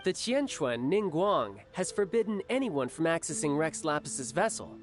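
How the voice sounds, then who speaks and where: A young, smooth-talking schemer in a video game, in a fairly high male voice that moves around a lot. He sounds breezy, teasing and slyly knowing, with soft music behind. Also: big pitch swings, normal speed. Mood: approving.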